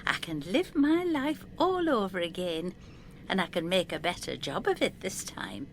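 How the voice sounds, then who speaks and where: A woman's voice: fairly low pitch, very animated, normal speed, a little hiss or hum. An actress playing a vague, spaced-out woman in her seventies, wistful and deluded, in an audio drama. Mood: wistful rapture.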